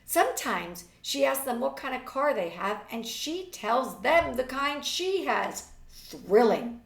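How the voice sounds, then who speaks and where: A woman's voice: high-pitched, very animated, slow, vocal fry, voice pushed up, full character voice. A woman voicing an exasperated, catty eighteen-year-old society girl in a storybook reading, emphatic and scornful. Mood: sarcastic. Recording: roomy, distant webcam microphone.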